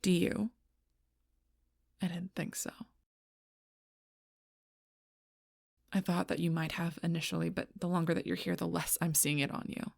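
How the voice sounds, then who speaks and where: A woman playing a gruff, stubborn, protective female alpha werewolf in an intense audio roleplay, blunt and often hushed, with a husky voice. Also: lowish voice, steady tone, brisk, no echo, clean studio sound. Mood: disappointed. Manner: intense, blunt, swearing, often dropping to whispers.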